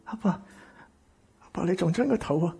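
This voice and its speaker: A man acting a bitter, exploited grown son in a radio drama, with a Hong Kong Cantonese accent and an emotional, breathy delivery. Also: high voice, animated, moderate pace, heavily acted. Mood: shocked, frightened. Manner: emotional, stammering, swinging from shouts to whispers. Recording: music behind the voice.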